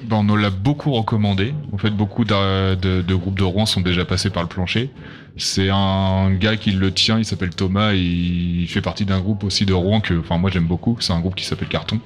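Very low-voiced band member. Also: male voice, steady tone, fairly quick. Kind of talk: casual interview answers.

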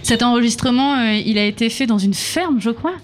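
A woman hosts a casual radio chat with a band. Her voice sits fairly high, and she asks questions in a hesitant way, with plenty of fillers. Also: brisk. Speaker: radio interviewer.

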